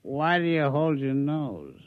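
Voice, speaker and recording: A man's voice: fairly high pitch, very animated, takes it slowly, dry recording. A man acting a wry, jittery sidekick who would rather avoid danger, in a 1950s radio comedy drama. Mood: nervous. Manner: wry, jittery, stammers when alarmed. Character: nervous, wry male sidekick who prefers cocktails to danger. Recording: dull, dry old radio recording.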